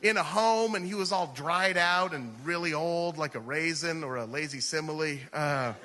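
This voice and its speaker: A man's voice: on the high side, moderate pace, very noisy. An American man doing comedic personal storytelling on stage, chatty and full of "like" and "you know.". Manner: conversational, peppered with "like" and "you know". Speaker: stand-up comedian. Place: theater stage at a live radio show. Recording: live stage in a reverberant hall.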